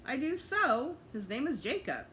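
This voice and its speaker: A woman's voice: high-pitched, very animated, measured pace, sharp tone, a little hiss or hum, pitched above the performer's own voice, exaggerated voice. A woman voicing a chatty, boastful little girl in a children's book read-aloud.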